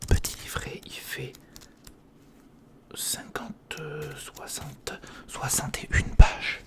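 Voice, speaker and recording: An ASMR creator narrating an unboxing in a whisper, speaking informally and intimately to the viewer. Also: extremely slow. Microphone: sensitive recorder microphone, close.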